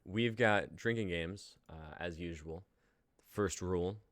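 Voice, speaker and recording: Bright, low-voiced young man hosting. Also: slow pace, no echo. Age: 20s. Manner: jokey, informal. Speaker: host of a live-stream reading show. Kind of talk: casual live-stream hosting.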